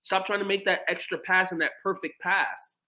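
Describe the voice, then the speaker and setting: A man's voice: high-pitched, steady tone, moderate pace, sharp tone. A man co-hosting a casual sports podcast, laid-back and rambling, with the odd swear. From United States. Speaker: podcast co-host.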